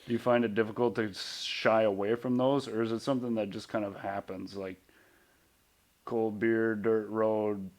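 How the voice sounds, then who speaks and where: Young man, lowish voice, laid-back host, casual questions. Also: normal speed. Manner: relaxed, swears casually, short backchannels. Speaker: podcast host. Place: radio studio.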